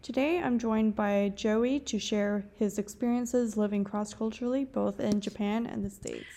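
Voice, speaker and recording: A woman host reading a short scripted podcast introduction in a smooth, read-aloud way. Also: mid-range voice, fairly even intonation, moderate pace, warm tone.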